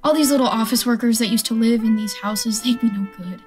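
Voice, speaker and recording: A woman acting a high-voiced, defiant militia survivor. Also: fairly quick, music in the background, natural voice. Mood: fierce, scornful. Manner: blunt, folksy, speechifying. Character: defiant, survival-minded militia stranger armed with a knife. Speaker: actor in a radio play. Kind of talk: radio drama acting.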